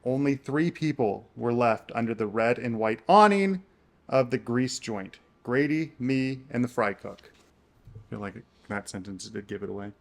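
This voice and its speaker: A man with a medium-pitched voice chats casually as co-host of a book channel. His delivery is relaxed banter, wry and teasing, like talk between people who know each other well. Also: moderate pace, gravelly. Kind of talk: casual playful banter, book guessing game.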